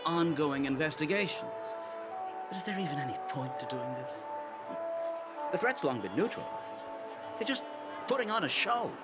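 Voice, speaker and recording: Actor as a wry, gossipy security man, very animated. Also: fairly high pitch, normal speed, long pauses, lightly acted. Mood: cynical. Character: gossipy, sardonic male security worker with formal airs. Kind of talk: video game voice acting. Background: game music.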